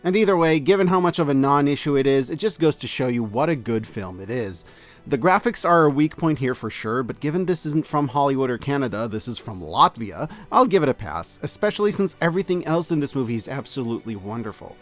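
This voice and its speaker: A man narrating a scripted, opinionated review in an emphatic, conversational way with deliberate dramatic stresses. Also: mid-range voice, animated. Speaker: host of a year-end media review.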